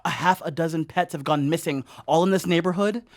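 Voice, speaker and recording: A man's voice: fairly high pitch, a little fast, close to an everyday voice. An actor playing an insistent, matter-of-fact young man from the neighborhood in an audio drama.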